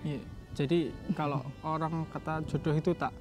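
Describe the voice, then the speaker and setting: A young man with a slightly high voice talks casually about his own life. He feels his way through phrases and corrects himself as he goes. Soft music plays behind him. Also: flat delivery, normal speed. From Jombang, East Java, Indonesia. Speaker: doctoral student telling his story. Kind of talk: casual personal storytelling.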